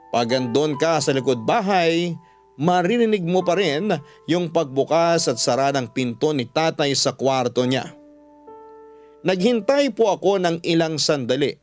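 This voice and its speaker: A man with a Filipino accent narrating a scripted first-person story for radio drama, with music underneath. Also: a little high.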